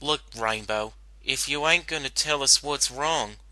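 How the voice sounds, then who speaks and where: A man acts out a caring female farm pony from a cartoon. The delivery is folksy and consoling, in a very bright, close and dry voice. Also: medium pitch, a little slow, dry recording. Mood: exasperated. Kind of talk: dramatic fan-fiction reading.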